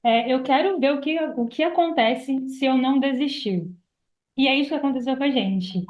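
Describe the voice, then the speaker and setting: The sound is narrow and phone-like. A Brazilian woman with a fairly high voice speaks as a podcast guest, in a conversational, motivational style. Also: normal speed, darkish tone.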